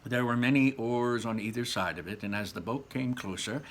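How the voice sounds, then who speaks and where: A man's voice: medium pitch, normal speed. A man reading a children's story aloud with dramatic emphasis, repeating phrases and dropping in casual asides.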